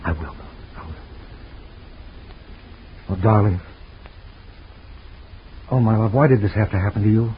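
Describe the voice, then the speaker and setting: A man's voice: lowish voice, unhurried, pauses often, slight background noise, natural voice. A man playing a guilt-ridden, pleading husband. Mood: tender. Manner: emotional, pleading. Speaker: lead actor. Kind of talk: 1940s radio acting. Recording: dull lo-fi old radio recording.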